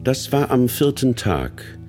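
An actor narrating a radio play as a grave literary storyteller, measured, solemn and theatrical. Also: male voice, mid-range voice, very animated, darkish tone, soft background music. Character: grave, literary male narrator of a criminal's life.

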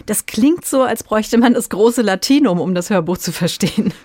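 A woman's voice: medium pitch, animated, normal speed, warm tone, no room sound, studio quality. A woman hosting a radio review show, presenting and chatting with a reviewer. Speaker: radio host.

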